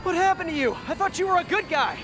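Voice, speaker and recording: Game role: wisecracking young American hero, very high male register, lively delivery, playful. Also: fast, very noisy. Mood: puzzled. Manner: quippy, playful, chatty. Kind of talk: video game cutscene acting. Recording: loud background music.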